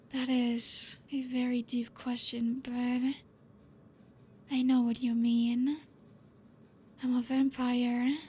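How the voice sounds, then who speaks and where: A woman playing a breathy, possessive vampire teacher. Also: a little high, full character voice. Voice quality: soft, breathy, half-whispered. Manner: slow, intimate, gently teasing. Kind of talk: ASMR roleplay.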